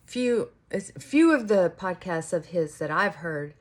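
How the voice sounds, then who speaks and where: A woman vlogger talking in casual, rambling chit-chat, reflective and halting, often trailing off and circling back. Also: on the low side, animated.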